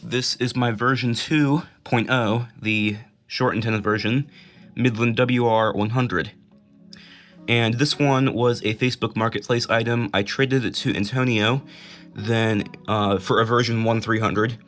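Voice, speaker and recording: A young man with an American accent talks through items in a collection. His voice sits at an average pitch, and he speaks in an informal, matter-of-fact way, like a casual home presenter. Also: steady tone, unhurried, a bit bright, faint music behind. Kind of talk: casual collection showcase narration.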